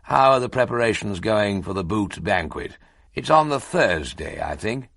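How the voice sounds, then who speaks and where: Give me a man playing a commanding, pompous elderly newspaper baron in a booming, deep voice. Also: lively intonation, normal speed, gravelly, a bit bright, dry recording, studio quality, heavily acted. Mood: grandly pleased.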